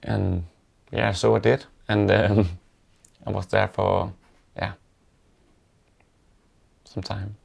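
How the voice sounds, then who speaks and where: A man with a Danish accent, a native Danish speaker, casually and reflectively telling stories in an interview with halting pauses. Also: lowish voice, flat delivery, gravelly. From Denmark.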